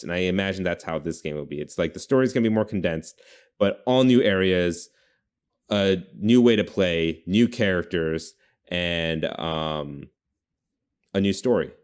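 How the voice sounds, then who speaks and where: A man hosting a podcast, chatting casually and informally about video games in a rambling way. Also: sits low. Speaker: podcast host.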